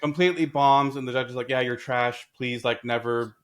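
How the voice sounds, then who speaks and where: A man in a casual discussion, talking in an animated way and telling stories with acted quotes. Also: mid-range voice, a little fast. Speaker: podcast host.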